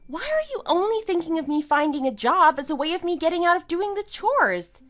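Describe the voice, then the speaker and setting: High-voiced, put-upon middle-aged wife, acted by a woman. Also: sing-song, fairly quick, fairly bright tone, soft background music, heavily acted. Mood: exasperated. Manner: reasoning, defensive, exasperated. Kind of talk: scripted audio drama dialogue.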